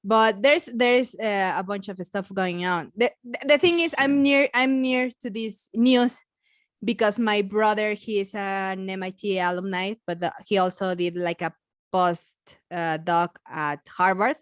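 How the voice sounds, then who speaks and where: A woman with a Mexican accent, a biologist chatting casually as a podcast guest, halting with many restarts and frequent laughs. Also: mid-range voice, fairly even intonation, smooth, no echo, clean studio sound. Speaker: biologist guest and science communicator.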